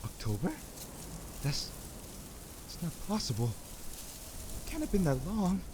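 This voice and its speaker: A man playing a distraught, disoriented man lost in a maze, speaking haltingly and urgently in a suspense radio drama. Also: a little high, very animated, noisy background. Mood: alarmed, urgent.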